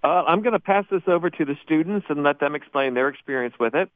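A man's voice: a little high, lively intonation, quick pace, no room sound. An American man, a teacher, speaking casually as an interview guest over the telephone. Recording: narrow, tinny phone line.

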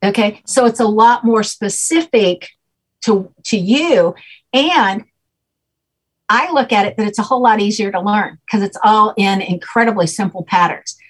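Husky woman explaining patiently. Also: medium pitch, unhurried, poor audio. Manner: patient, checks understanding with repeated "okay?". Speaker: guest teaching a name system. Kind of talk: explanatory conversation.

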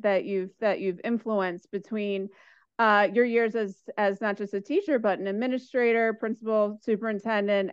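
A young woman with a smooth, clear voice asks eager questions, stumbling and restarting as she goes. The audio is dry and a little dull, like a lo-fi recording. She sounds keen and admiring. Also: mid-range voice, dry recording. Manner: eager, halting with many fillers and restarts. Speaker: interviewer, doctoral student. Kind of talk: casual interview.

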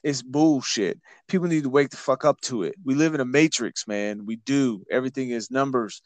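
A man's voice: medium pitch, normal speed, no room sound. A man hosting a casual podcast, talking bluntly with plenty of swearing and repeated words. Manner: blunt, repetitive, profane. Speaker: podcast host.

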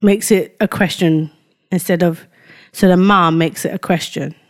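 A woman's voice: on the low side, steady tone, measured pace. A woman co-hosting a podcast in casual banter, using slang like a habit and explaining things with examples and asides. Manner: slangy, says fam and yeah often. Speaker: podcast co-host.

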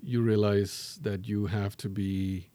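A man's voice: on the low side, flat delivery, slow pace, warm tone, no echo. A middle-aged man, a professor, talking in a thoughtful, self-deprecating way in a conversational interview, with many fillers and restarts. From born in Tanzania to Indian parents.

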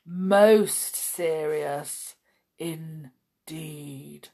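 Storybook castle guard, woman performing: male, gloomy, stern, very slow and ponderous. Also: sits low, gravelly, mellow, exaggerated voice. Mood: solemn. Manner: slow, solemn, ponderous delivery.

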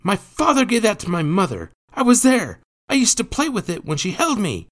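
A man's voice: high-pitched, wildly swooping pitch, normal speed, a bit bright, pitched above the performer's own voice, full character voice. A man voicing a desperate, indignant young street boy in an audiobook, pleading and insistent. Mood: outraged, insistent. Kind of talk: audiobook character voice.

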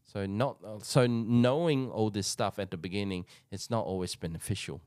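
Low-voiced man from Taiwan. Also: normal speed, darkish tone, dry recording, studio quality. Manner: thoughtful, rambling, hedges a lot. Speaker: podcast co-host. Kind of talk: casual chat.

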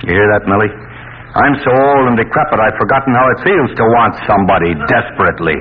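A man's voice: fairly low pitch, fast talker, slight background noise, natural voice. An actor playing a dryly sarcastic middle-aged father in a 1940s radio drama, heard on a tinny old broadcast.

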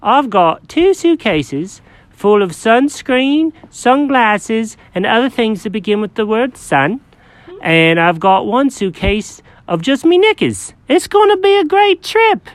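A storyteller voicing a fussy, naive male cat who talks in a high squeak, with an excitable, bewildered manner. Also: slow pace, no echo.

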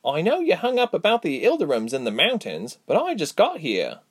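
A man voicing a warm, spirited young woman adventurer with an eager, expressive delivery in a fantasy story. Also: a little high, a little fast, slightly rough, no echo, clean studio sound, pitched above the performer's own voice, full character voice. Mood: quietly confident.

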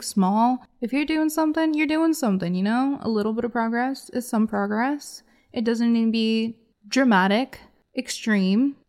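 A woman's voice: a little high, darkish tone. A woman podcast host, a little congested, doing a solo card reading in an upbeat, encouraging way. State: congested from a cold.

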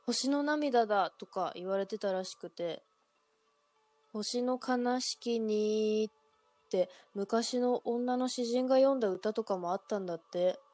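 A woman's voice: fairly high pitch, measured pace, a little mellow, no room sound, soft background music, close to an everyday voice. A woman performing in an amateur radio drama as a young woman casually telling friends an urban legend. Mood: chatty.

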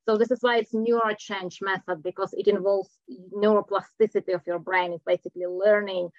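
A woman's voice: mid-range voice, fairly even intonation, fairly quick, no echo. A middle-aged woman with a Czech accent, a coach talking earnestly as a podcast guest and sometimes searching for words. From Czech Republic. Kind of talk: podcast interview. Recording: dull lo-fi audio.

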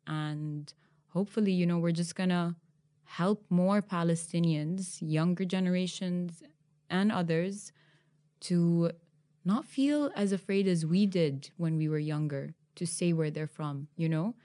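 A young woman hosting a podcast interview, animated and emphatic, often laughing as she talks. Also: on the low side, measured pace. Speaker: podcast host. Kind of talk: conversational interview.

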